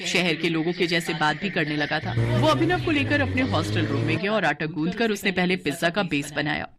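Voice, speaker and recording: A woman's voice: lowish voice, animated, a little fast. A woman reading a scripted story as its storyteller narrator.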